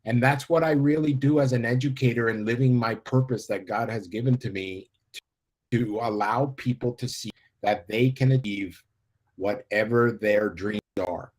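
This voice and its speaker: A man's voice: medium pitch, moderate pace, a little mellow, poor audio. A man in his fifties from the United States, a life coach guest speaking earnestly and inspirationally. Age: 50s. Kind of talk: interview talk.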